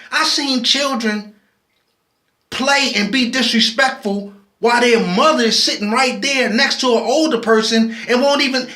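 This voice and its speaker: A late-forties man, talking in a very high, bright voice. He is impassioned and preachy, speaking slowly and often restarting his sentences. The sound carries a roomy echo. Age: 40s. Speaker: vlogger. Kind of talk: personal video commentary.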